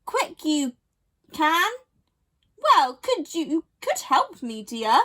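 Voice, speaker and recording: An eager female hippo from a children's storybook, acted out by a woman reader. The voice is high and very animated, full of exclamation. She is a polite, cheerful dreamer. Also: extremely slow, heavily acted. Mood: eager, grateful.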